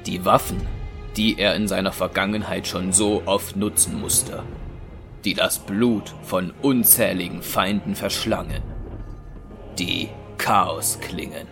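A man narrates an audio drama in a lowish voice. His scripted delivery is solemn and dramatic, with prominent music underneath. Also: fairly even intonation, slow pace, gravelly.

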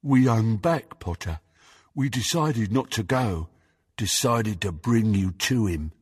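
A man voicing a huge, dim, thuggish teenage boy bully with rough grammar, in a storybook reading. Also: fairly low pitch, expressive, slow pace, no echo, studio quality, long reverb tail, exaggerated voice. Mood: smug menace. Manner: thuggish, uneducated grammar, belligerent.

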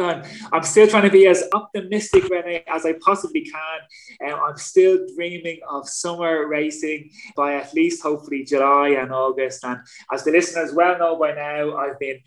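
Male podcast host, chatty and casual, slightly high-pitched, stumbles and repeats a little. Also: low-quality recording. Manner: chatty, repeats words when thinking.

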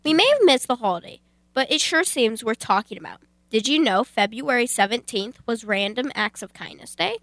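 A woman's voice: fairly high pitch, lively intonation, moderate pace, a bit bright, no echo. A female youth radio host introducing a segment from a script.

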